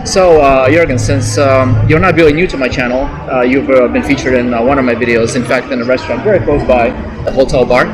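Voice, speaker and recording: A man in his thirties from the United States, hosting a travel vlog in a relaxed, chatty way with fillers, outdoors in a city and then in a restaurant. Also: mid-range voice, quick pace, low-quality recording. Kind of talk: casual interview chat.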